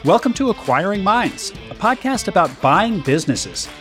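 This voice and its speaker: A man's voice: sits high, very animated, normal speed, music in the background. A man hosting a business podcast, reading a scripted intro and ad read before interviewing his guest in an upbeat way. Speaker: podcast host.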